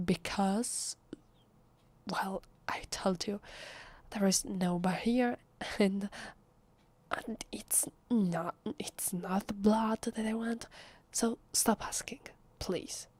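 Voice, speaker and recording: A woman with an Italian accent playing a nervous, fragile, defensive female vampire in an ASMR roleplay, speaking softly and haltingly in a breathy, often whispery voice. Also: mid-range voice, no room sound. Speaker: voice actress. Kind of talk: ASMR roleplay, soft acted monologue.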